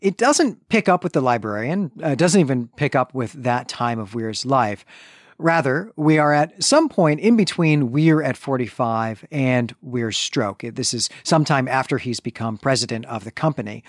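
A man's voice: mid-range voice, expressive, moderate pace, no room sound, studio quality. A man co-hosting a book podcast, methodically summarizing and reflecting on a novel's chapters. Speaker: podcast co-host. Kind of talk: casual book discussion.

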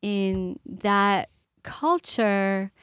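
A middle-aged woman from Iran, a guest speaking earnestly and thoughtfully with pauses and fillers. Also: medium pitch, a bit bright, clear, resonant tone, no echo. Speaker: author and guest. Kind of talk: reflective interview talk.

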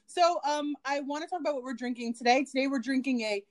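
Chatty, upbeat woman podcaster with a higher voice. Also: quick pace. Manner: chatty, lots of ums and likes, giggly. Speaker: podcast co-host. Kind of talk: casual chat.

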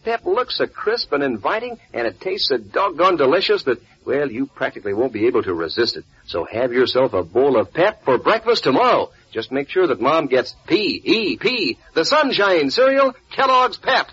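A man's voice: a little high, very animated, moderate pace, hardly any pauses, fairly bright tone, husky. A man delivering a 1940s radio advertisement, folksy and eager, talking to children. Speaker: commercial pitchman. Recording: muffled vintage radio, faint music.

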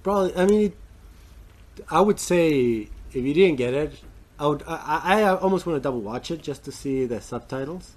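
A man's voice: on the high side, expressive, moderate pace, a little mellow. A man co-hosting a casual film-review podcast, who rambles with many fillers and restarts. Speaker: podcast co-host.

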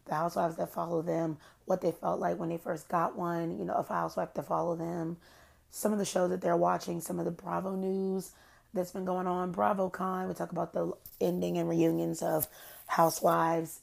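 A young adult woman hosting her own podcast, hoarse from losing her voice, chatting in a rambling, gossipy way. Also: level tone, normal speed, a little mellow. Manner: chatty, rambling, self-described low-key shady. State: hoarse, has lost her voice. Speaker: podcast host. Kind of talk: casual solo podcast chat.

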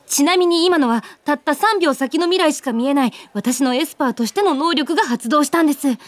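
A woman's voice: sits high, a little fast, dry recording, natural voice. A woman playing an anxious, earnest young woman who sees three seconds ahead and narrates her own story in a radio drama. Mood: flustered. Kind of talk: radio drama acting with first-person narration.

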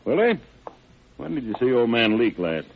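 A man's voice: medium pitch, sing-song, moderate pace, gravelly, breathy edge, full character voice. An actor playing a shrewd, suspicious lawman with clipped, slangy, probing talk in an old radio crime drama. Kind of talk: 1940s-50s radio crime drama acting. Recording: tinny band-limited old radio recording.

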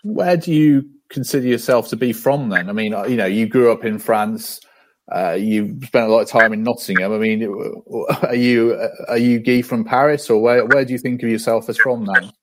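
Male interviewer, mid-pitched, easygoing questions, faint noise in the background. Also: moderate pace. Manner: relaxed, conversational questioning.

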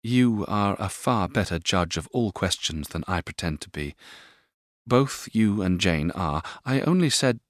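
A man voicing a timid, gentle young mother who speaks hesitantly and apologetically in an audiobook reading of a classic novel. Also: low-pitched, sing-song, normal speed, dry recording, clean studio sound, pitched above the performer's own voice.

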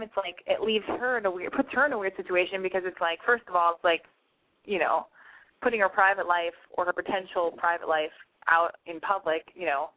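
A woman's voice: fairly low pitch, no echo, narrow, tinny audio. A woman hosting a call-in advice show, giving chatty, emphatic big-sister advice. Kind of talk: casual advice call-in chat.

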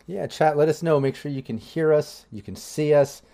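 A man's voice: on the high side, moderate pace, gravelly, mellow. A man hosting a live tabletop game stream, talking casually with many fillers. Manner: chatty, loose, many fillers. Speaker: game master and stream host. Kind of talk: casual livestream chat.

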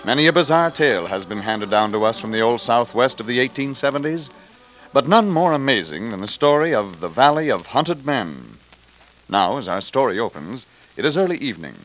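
Animated American male old-time radio announcer. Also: medium pitch, brisk, a little hiss or hum. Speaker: announcer and narrator. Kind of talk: vintage radio scripted narration. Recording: dull vintage radio recording. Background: organ music.

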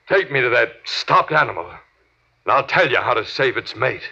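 A weary human survivor in classic 1950s radio drama. His delivery swings widely in pitch, earnest with a wry, hesitant edge. The recording is dull and dated. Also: very animated, moderate pace, gravelly, sharp tone, husky, exaggerated voice. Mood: urgent, insistent. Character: weary, wry human man, among Earth's last survivors. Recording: muffled vintage radio recording.

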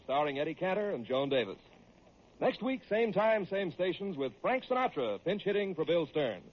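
Male 1940s radio announcer, fairly high, husky voice, polished sales delivery. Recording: muffled vintage radio recording.

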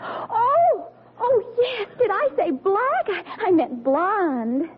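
An earnest, girlish American teenager from a 1940s radio sitcom. She speaks very high, with lively rises and falls and emphatic exasperation. The recording is tinny and narrow. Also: slow pace, a loud crowd, heavily acted. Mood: flustered, gushing.

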